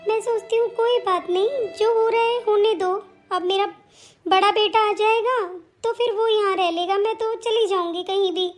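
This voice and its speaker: A woman's voice: very high-pitched, fairly even intonation, moderate pace. A woman voicing a long-suffering elderly mother-in-law in a family cartoon drama, meek and complaining. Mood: plaintive, lamenting. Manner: plaintive, complaining, placating.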